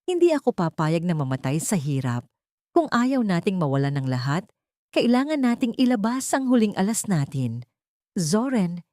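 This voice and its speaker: A woman's voice: medium pitch, darkish tone, dry recording, clean studio sound, close to an everyday voice. A woman voicing a cruel, scheming older matriarch in an audio drama, scolding and commanding.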